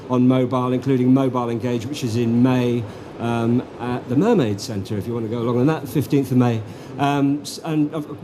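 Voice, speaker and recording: Chatty man on a conference panel. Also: medium pitch. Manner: brisk, chatty, a few filler words. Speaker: panelist, industry association chief executive. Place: conference hall panel stage. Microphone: panel microphone. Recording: noisy conference hall recording.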